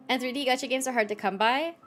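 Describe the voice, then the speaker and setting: A woman doing casual, informal gameplay commentary as a streamer, giggly and reacting aloud. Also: sits high. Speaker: game streamer. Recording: game music behind the voice.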